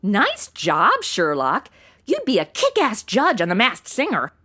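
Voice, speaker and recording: A woman playing an irreverent, slangy American sidekick in a comedy audio drama, expressive and flippant. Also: mid-range voice, measured pace, full character voice.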